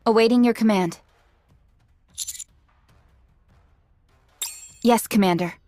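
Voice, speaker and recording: A woman's voice: fairly high pitch, fast, warm tone, no echo, studio quality, soft background music, lightly acted. Composed, curt female squad leader, acted. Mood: formal. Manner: formal, clipped, composed. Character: businesslike young female android squad leader, dutiful and curt. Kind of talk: English video game voice acting.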